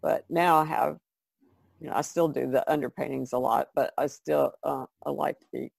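Creaky, low-pitched woman chatting casually. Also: steady tone, normal speed, a little mellow, no room sound. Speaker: podcast guest artist. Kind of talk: casual conversation explaining craft.

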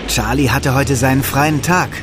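A man's voice: mid-range voice, normal speed, background noise, natural voice. A man playing a friendly builder who narrates his day in a children's audio drama. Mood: storytelling. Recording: music behind the voice.

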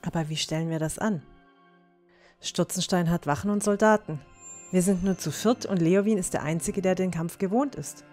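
A stern young fantasy heroine, acted by a woman with a slightly low voice. She sounds firm and decisive, sure of right and wrong, as soft music plays behind her. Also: normal speed, natural voice. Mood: resolute. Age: young adult. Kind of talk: fan-made fantasy audio drama acting.

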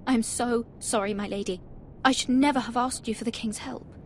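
A woman's voice: a little high, steady tone, moderate pace, a little hiss or hum, lightly acted. An actress playing an anxious, dutiful young lady-in-waiting in a fantasy video game, formal and apologetic in manner. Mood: apologetic, worried. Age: young adult. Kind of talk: dramatic video game acting.

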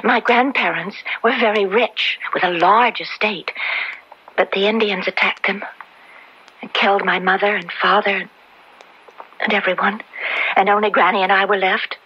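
An actress in an old radio drama playing a frightened young maid condemned as a witch, halting, tremulous and pleading, often dropping to a breathy whisper. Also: mid-range voice, fairly even intonation, pushed and bright. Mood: sad, halting. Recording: dull lo-fi old radio recording.

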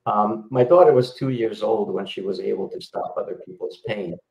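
A man's voice: lowish voice, normal speed, gravelly, darkish tone, echoey room, poor audio. A middle-aged man from Yugoslavia, a healer guest explaining his work over a video call, with many fillers and restarts. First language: Hungarian. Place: indoor home room.

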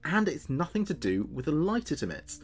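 Man playing a lively late-night radio DJ. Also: fairly high pitch, faint music behind. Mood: presenting, chatty. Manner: measured radio presenter delivery. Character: male late-night local radio DJ, chatty and steady. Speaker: radio host. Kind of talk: scripted late-night radio show narration.

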